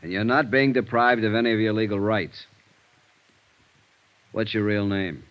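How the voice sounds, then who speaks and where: Dry, low-voiced police sergeant, played by an actor. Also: male voice, moderate pace, smooth, natural voice. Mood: sardonic, needling. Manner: dry, needling. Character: weary, sardonic male police sergeant interrogating a suspect. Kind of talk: 1950s radio drama acting. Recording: dull vintage radio recording.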